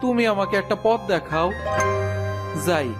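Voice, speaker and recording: Man voicing a high-pitched, plaintive poor village boy. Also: a little slow, loud music behind the voice. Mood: sorrowful. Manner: pleading, plaintive. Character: poor orphaned village boy Sumon, meek and sorrowful. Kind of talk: cartoon fairy-tale voice acting.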